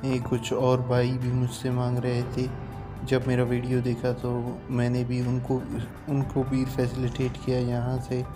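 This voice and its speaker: A man in his thirties, a travel vlogger, walking a cricket ground and talking to a phone camera at arm's length in an unhurried, repetitive way. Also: medium pitch. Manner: flat, steady and unhurried, with many repeats. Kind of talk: casual walking vlog. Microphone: phone camera held at arm's length.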